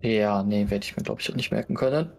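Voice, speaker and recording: A man chatting casually as a tabletop game player, hesitant and halting. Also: fairly low pitch, a little mellow.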